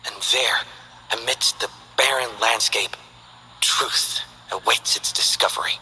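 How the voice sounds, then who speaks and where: An actor playing a calm, reflective male scholar who speaks in a measured, precise way in game character voice lines. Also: medium pitch, slight background noise, low-quality recording. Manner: measured, thoughtful, precise delivery.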